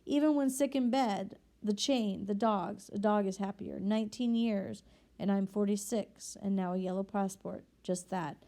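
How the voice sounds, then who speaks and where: A woman's voice: mid-range voice, moderate pace, dark tone, natural voice. A woman reading aloud and voicing a desperate forty-six-year-old ex-convict man who stammers and pleads. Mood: bitter, anguished. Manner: stammering, pleading, tumbling words.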